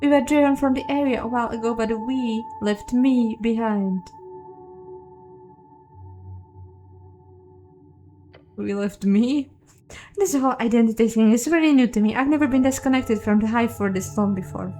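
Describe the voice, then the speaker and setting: A woman's voice: a little high, brisk, dark tone, faint music behind, close to an everyday voice. A woman voicing a small, earnest hive-mind ant from a video game, talking in a casual, rambling way. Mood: earnest, troubled. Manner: casual, rambling, newly unsure of itself.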